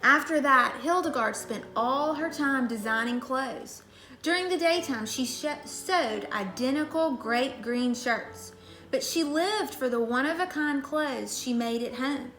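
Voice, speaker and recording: A woman's voice: high-pitched, unhurried, sharp tone, roomy sound, faint music behind. A woman reading a children's storybook aloud in a scripted narration style. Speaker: story reader.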